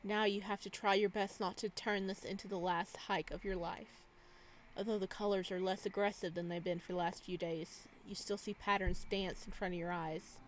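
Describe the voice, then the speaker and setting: A woman's voice: medium pitch, steady tone, moderate pace, rough recording. Woman reading a game aloud, slight noise. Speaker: gaming video host. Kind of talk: reading visual novel narration aloud. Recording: some background noise under voice.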